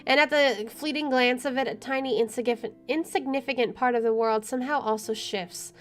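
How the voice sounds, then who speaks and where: An expressive woman playing a game, reading its narration aloud and adding casual, opinionated commentary. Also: fairly high pitch, fairly quick. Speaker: let's player. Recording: faint music underneath.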